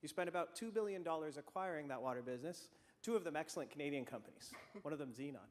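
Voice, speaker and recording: A man in the audience asking a pointed question at a conference, loud and self-assured. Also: fairly high pitch, expressive, fast. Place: large conference hall.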